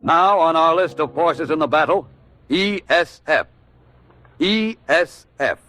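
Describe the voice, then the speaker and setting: A man's voice: on the high side, animated, takes it slowly, sharp tone, soft background music. A man with an American accent delivering 1940s wartime radio narration in a dramatic, declamatory, emphatic way.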